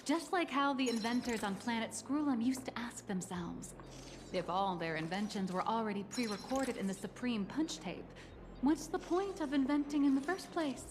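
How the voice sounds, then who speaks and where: High-pitched, showy woman guide played by an actress. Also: lively intonation, normal speed, over music, close to an everyday voice. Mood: earnest, inspired. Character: enthusiastic corporate guide woman promoting mecha model kits. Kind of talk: scripted video game character dialogue.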